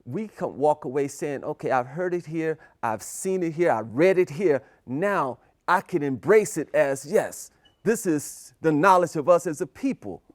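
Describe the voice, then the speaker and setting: A man's voice: on the high side, animated, measured pace, no room sound, clean studio sound. A man co-hosting a community talk show who often affirms his partner and calls him "brother". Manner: affirming, frequent "mm-hmm" and "that's right", warm address as "brother". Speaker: co-host. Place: local TV studio.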